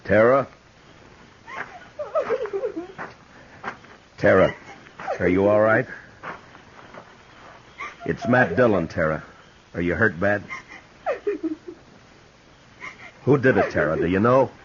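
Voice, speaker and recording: An actor plays a stern Old West lawman in a 1950s radio drama. He has a very low voice and talks tersely and steadily. The sound is dull, with faint noise behind it. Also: measured pace, pauses often, natural voice. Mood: urgent concern. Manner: terse, plain-spoken. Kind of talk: 1950s radio western acting. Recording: muffled, faintly noisy 1950s radio recording.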